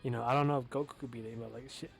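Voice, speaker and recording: Casting note: young guy with a noticeable lisp, relaxed and offhand, faint music behind. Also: medium pitch, very fast, creaky. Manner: casual, with fillers and swearing. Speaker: competitive game commentator. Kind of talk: casual match commentary.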